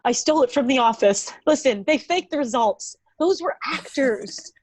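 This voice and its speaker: A woman's voice: high-pitched, lively intonation, moderate pace, a little mellow, a little hiss or hum. A woman playing an earnest, impulsive young romantic in a casual comedy script table read. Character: Maggie, earnest, impulsive woman chasing love.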